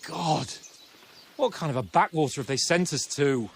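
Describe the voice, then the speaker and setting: A man's voice: on the high side, lively intonation, normal speed, gravelly, slight background noise. An actor playing a jovial, impatient young nobleman in a game, with a breezy, joking way of talking. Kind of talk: video game cutscene acting.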